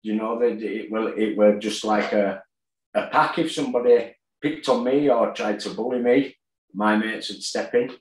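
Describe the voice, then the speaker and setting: A man, telling his story plainly in a video-call interview, with fillers and pauses. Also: fairly low pitch. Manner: plain-spoken, halting with fillers. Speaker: guest, boxer and gym owner. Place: kitchen at home, indoors. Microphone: tablet on a video call. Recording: echoey room.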